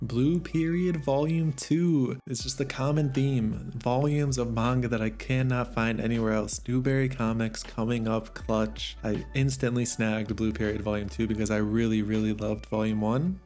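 A man's voice: mid-range voice, level tone, moderate pace, hardly any pauses, soft background music. Chatty man with a slightly worn voice. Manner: chatty, enthusiastic, laughs softly. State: voice a little worn. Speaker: manga vlogger. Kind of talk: casual vlog voice-over.

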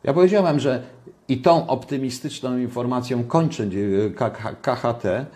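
A man's voice: medium pitch, moderate pace, darkish tone. An older man giving ironic, sarcastic political commentary from his home kitchen, repeating points for emphasis, recorded on a webcam.

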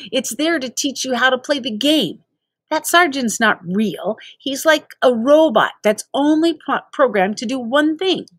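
A woman voicing an excited, cocky young boy gamer in a storybook, enthusiastic, breezy and persuading. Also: high-pitched, a little slow, dry recording, clean studio sound, full character voice. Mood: reassuring, upbeat.

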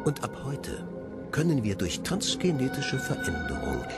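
Radio play: articulate researcher reciting a report formally, lively intonation, over very loud music. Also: male voice, mid-range voice, unhurried. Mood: solemn, assured. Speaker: narrator in a radio drama. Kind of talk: scripted reading of a scientific report.

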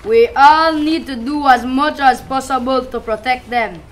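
A woman playing a kindly, respected male fish in an amateur puppet show, with a drawn-out, measured delivery. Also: high voice. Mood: wise, concerned. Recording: noisy live puppet show.

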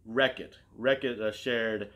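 A man in his thirties with a fairly low voice. He co-hosts a casual show from a living room and talks hesitantly, feeling around for the next word, with the camera a few feet away. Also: steady tone, slow pace, a bit bright. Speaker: co-host of a comic collecting show. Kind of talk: casual chat.

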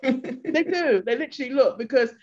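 A woman's voice: high voice, moderate pace. Older woman chatting on a video call. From England. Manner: animated storyteller, acts out voices of locals. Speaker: interview guest. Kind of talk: casual chat. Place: bright room at home. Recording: dull lo-fi video call audio.

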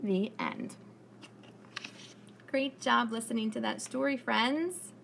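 High-voiced woman reading aloud, noisy room. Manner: expressive storytelling, pausing to ask the children questions. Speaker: preschool teacher. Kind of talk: read-aloud of a picture book to young children. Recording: clearly noisy room recording.

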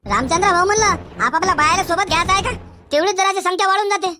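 Piercing, hot-headed cartoon villager. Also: male voice, very high voice, fast talker, noisy background. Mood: familiar, cajoling. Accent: Varhadi Marathi. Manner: brash, combative. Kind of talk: comedy cartoon dialogue.

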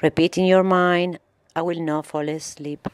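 Slow, low-pitched woman guiding meditation. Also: fairly even intonation. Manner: slow, measured, instructional phrasing with pauses. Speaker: yoga instructor and meditation guide. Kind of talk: guided meditation narration.

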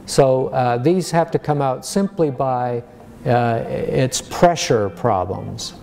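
An American man in his sixties, a surgeon explaining medicine to a lay audience in a measured, plain-spoken way. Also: medium pitch, creaky, mellow, soft background music. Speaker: surgeon giving a public lecture. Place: medium-sized lecture room.

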